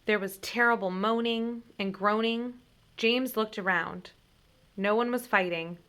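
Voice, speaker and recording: Bright-voiced woman reading a story, unhurried. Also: medium pitch, fairly even intonation. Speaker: teacher reading a picture book aloud. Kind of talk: expressive read-aloud narration of a children's story.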